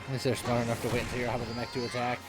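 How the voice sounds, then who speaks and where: A man's voice: medium pitch, completely flat delivery, racing. A man giving casual, dryly jokey, mock-complaining commentary as he streams a video game. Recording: music in the background. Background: game music.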